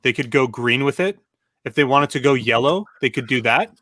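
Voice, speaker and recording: A man's voice: mid-range voice, moderate pace, bright, forceful tone, dry recording. A man co-hosts a casual livestream chat with a mock-earnest way of joking. The sound is dry, with hardly any room on it. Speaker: co-host.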